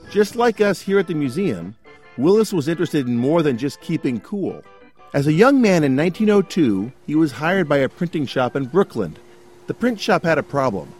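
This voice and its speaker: Animated male narrator over music. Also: on the high side. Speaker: museum spokesperson. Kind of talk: scripted promotional narration. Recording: music behind the voice.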